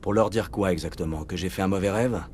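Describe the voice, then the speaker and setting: An actor playing a steady, determined military commander in a video game, speaking in a serious, restrained way. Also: male voice, low voice, quick pace, a little hiss or hum, close to an everyday voice. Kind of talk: dramatic video game dialogue.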